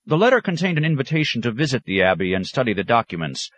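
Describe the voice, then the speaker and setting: A man's voice: mid-range voice, big pitch swings, fast talker, a bit bright, pitched above the performer's own voice. A man reading aloud, voicing a haughty, irritable male scholar who talks stiffly and pompously. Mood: indignant.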